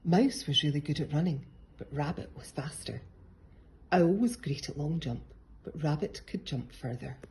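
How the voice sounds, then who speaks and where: A woman's voice: low-pitched, mellow, slight background noise. A woman narrating a children's picture book as a storybook reader.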